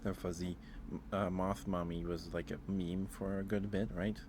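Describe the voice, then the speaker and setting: A man streaming casual ASMR chat, talking haltingly, often repeating words and trailing off. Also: sits low, level tone, noisy background. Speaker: streamer hosting a ranking.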